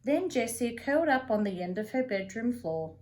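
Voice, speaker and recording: A woman reading a children's picture book aloud as a storyteller, with an expressive, deliberate delivery. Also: fairly high pitch. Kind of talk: read-aloud for young children.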